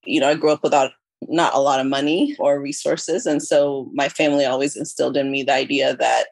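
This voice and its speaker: A woman with a notably low voice speaks as a podcast guest. She explains things reflectively in a conversational way, and the sound is very dry and close, with little room to it. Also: fairly even intonation, a little fast, creaky, no echo. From born in Ethiopia, moved to the US at about eleven. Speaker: podcast guest, consulting firm chief executive. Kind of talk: conversational interview answers.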